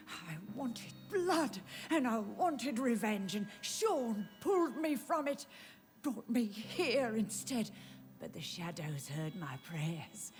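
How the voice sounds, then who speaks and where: An actress playing a frail, spiteful, sly undead woman in a video game, taunting and savouring her words. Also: mid-range voice. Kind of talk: dramatic video game voice acting.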